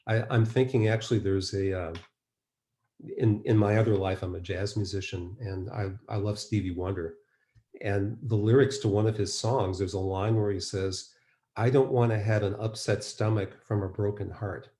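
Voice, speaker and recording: A man's voice: lowish voice, fairly even intonation, moderate pace, warm tone. A man presenting a webinar in a conversational, explanatory way, posing rhetorical questions as he teaches. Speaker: presenter, mind-body medicine practitioner. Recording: roomy, echoing webinar audio.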